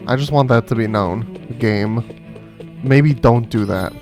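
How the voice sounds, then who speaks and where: A man's voice: medium pitch, measured pace, darkish tone, faint music behind. A man giving casual, dry commentary as he streams a video game.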